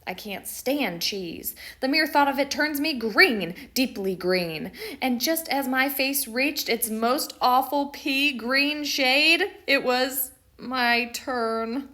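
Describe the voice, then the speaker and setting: A woman voicing a mischievous, dramatic schoolboy who tells his own picture book story with theatrical emphasis and pauses. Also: high voice, sharp tone, full character voice. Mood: playful exasperation.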